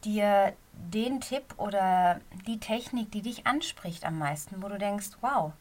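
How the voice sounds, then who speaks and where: A middle-aged woman with a slight cold and a somewhat hoarse voice, a running coach giving a casual, earnest podcast talk from a quiet corner of her bedroom. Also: mid-range voice, animated, measured pace. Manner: earnest, encouraging, talks straight to the listener.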